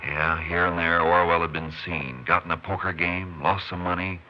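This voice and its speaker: An American actor playing a steady, dogged Texas Ranger in a 1950s radio western, speaking in a dry, plain, folksy way. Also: male voice, deep voice, normal speed, faint music behind, close to an everyday voice. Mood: measured, determined. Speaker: lead actor and narrator. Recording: muffled, noisy 1950s radio recording.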